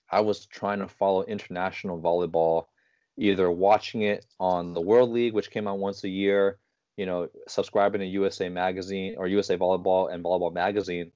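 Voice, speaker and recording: A man in his thirties hosting a casual interview, telling stories in quick, animated bursts. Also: low voice, no echo, lo-fi sound. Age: 30s. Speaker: podcast host.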